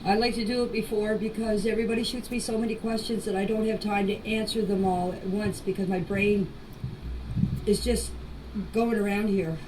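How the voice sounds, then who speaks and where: A woman's voice: medium pitch, fairly even intonation, normal speed. Older woman, distant noisy voice message. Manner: pleading, insistent, plain-spoken. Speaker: grandmother answering questions in a group voice chat. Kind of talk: emotional personal statement in voice messages.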